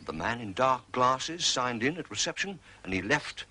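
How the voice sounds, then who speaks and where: An actor playing a formal, deferential manservant in a period drama, reporting methodically with dry, theatrical relish. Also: male voice, mid-range voice, big pitch swings, normal speed, sharp tone, a little hiss or hum. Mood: matter-of-fact. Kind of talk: British television period drama acting.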